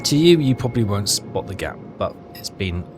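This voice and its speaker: A man's voice: lowish voice, big pitch swings, moderate pace. Rambling male game commentator over music. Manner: hesitant, rambling, thinking aloud. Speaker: gaming video host. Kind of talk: casual game walkthrough commentary.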